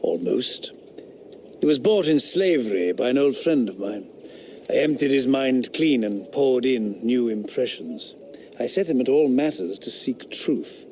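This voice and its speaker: An actor playing a sardonic, rationalist eighteenth-century philosopher in a radio drama, speaking in a declamatory, scornful manner. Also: male voice, medium pitch, darkish tone, slight background noise, close to an everyday voice. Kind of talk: period radio drama acting.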